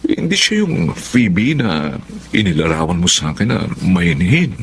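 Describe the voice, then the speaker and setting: Low-voiced cautious Filipino husband. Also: male voice, very animated, a little slow, a little hiss or hum, exaggerated voice. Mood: serious, hesitant. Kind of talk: radio drama acting.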